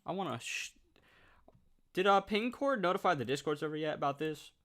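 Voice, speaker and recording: A nineteen-year-old man chatting casually on a livestream, reading out viewer comments, excitable and swearing freely. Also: a little high, a little fast.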